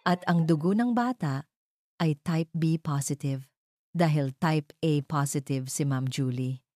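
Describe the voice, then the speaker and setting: A woman's voice: low-pitched, unhurried, warm tone, no room sound. Woman doing an anxious nurse in an audio drama, urgent but polite, dry close sound. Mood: confused, apologetic. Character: anxious hospital nurse reporting alarming news.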